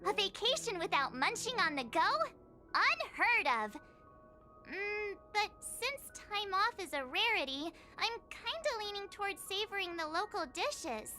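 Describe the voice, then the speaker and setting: Bubbly anime game girl, super high n bouncy, kinda slow, music playing loud. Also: heavily acted. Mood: cheerful musing. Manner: perky, expressive, musing aloud. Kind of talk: video game character acting.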